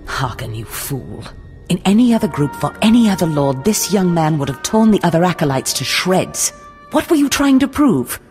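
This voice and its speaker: A woman's voice: lowish voice, very animated, normal speed, darkish tone, faint music behind. A woman playing a poised, menacing, condescending Sith lord in a video game, with a theatrical, mock-sweet manner. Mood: scornful anger. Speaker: villainous mentor.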